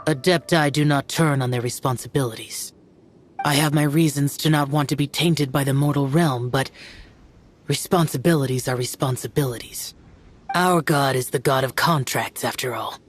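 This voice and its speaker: Actor doing a grave young immortal guardian guy, aloof n formal, game scene with music clearly behind. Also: medium pitch, moderate pace, lightly acted. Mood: grave, troubled. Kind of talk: video game cutscene acting.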